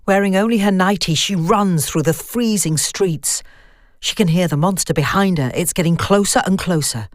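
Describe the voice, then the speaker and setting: A woman reading a scripted horror story as its narrator, measured and suspenseful. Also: lowish voice, no room sound, clean studio sound.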